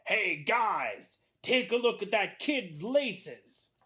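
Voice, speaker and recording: Jeering storybook bully voiced by a woman, very slow taunting drawl, animated. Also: medium pitch, pitched above the performer's own voice, heavily acted. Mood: mocking. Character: jeering gang of young bullies.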